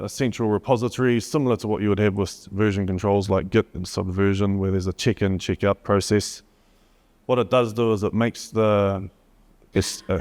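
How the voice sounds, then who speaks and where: A man with a New Zealand accent delivers a technical presentation at a conference. His voice sits on the lower side, and he pauses often with ums and uhs while working through his slides. A long reverb from the hall trails behind him. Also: darkish tone. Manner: hesitant, many fillers, reads from slides.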